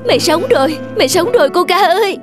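A woman's voice: very high voice, expressive, fast talker, pitched above the performer's own voice. A woman voicing a devoted, kind-hearted young male shepherd in an earnest, emotional fairy-tale cartoon style. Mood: earnest, relieved. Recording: music behind the voice.

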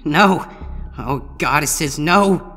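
A man's voice: on the high side, extremely slow, pushed and bright, voice pushed up, exaggerated voice. A man voicing a young male griffin student, anxious and panicked, in a storybook reading. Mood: terrified. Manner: anxious, panicked muttering and crying out. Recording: long reverb, music behind.

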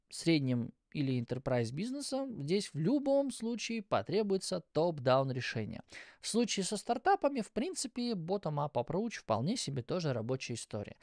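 A man in his thirties talks through a presentation with lively, widely varying pitch. His delivery is conversational and explanatory, with casual turns of phrase and small pauses. The sound is close and dry, as from a studio microphone. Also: no echo. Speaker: tech conference speaker. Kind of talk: explanatory presentation with informal asides. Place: indoor studio with acoustic foam. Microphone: close microphone on a boom arm.

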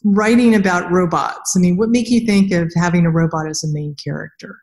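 A woman's voice: lowish voice, fairly even intonation, brisk, darkish tone. A middle-aged woman hosting a podcast, asking questions in a casual, conversational way. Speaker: podcast host, interviewer. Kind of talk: casual interview chat. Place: indoor room with plain wall.

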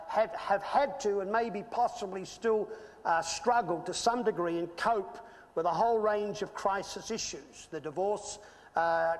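A man in his fifties with an Australian accent, preaching a sermon and telling a personal story, earnest and building to emphatic peaks. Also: high voice. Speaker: pastor. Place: church stage with screen. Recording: reverberant church hall.

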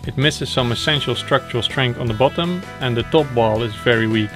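A man's voice: mid-range voice, fairly quick, over music. A young man hosting a renovation vlog, explaining the work in a plain, matter-of-fact way. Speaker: host of a renovation project vlog. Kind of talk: explanatory vlog talk and narration.

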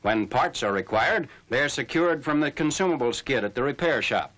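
A man narrating a scripted 1940s military newsreel in a formal, measured announcer's delivery. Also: mid-range voice, animated, fairly bright tone. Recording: noisy old 1940s film soundtrack.

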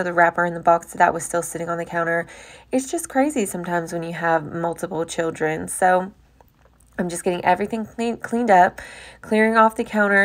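Young American woman with a lowish voice. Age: young adult. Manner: chatty, informal. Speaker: homemaking vlogger. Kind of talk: casual voice-over narration of household chores.

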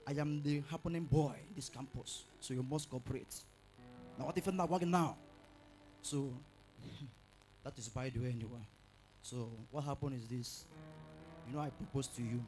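A man's voice: medium pitch, darkish tone, slight background noise, natural voice. A man playing a boastful young campus bully who threatens a woman.

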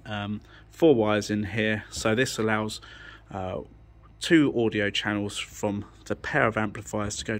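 A man with a slightly low voice talks through technical material informally. His delivery is unscripted and matter-of-fact, with some hesitation as he works out each explanation. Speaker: audio technician presenting a tech channel. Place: indoors, beside an amplifier rack.

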